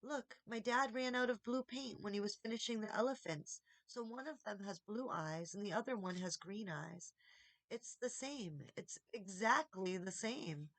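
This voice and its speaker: A woman's voice: mid-range voice, moderate pace, fairly bright tone, no echo. A woman voicing a sincere, wondering young boy in a storybook reading. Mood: amazed. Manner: murmuring, amazed.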